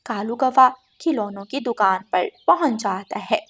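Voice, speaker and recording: A woman's voice: on the high side, lively intonation, measured pace, a bit bright. A woman narrating a scripted children's moral story.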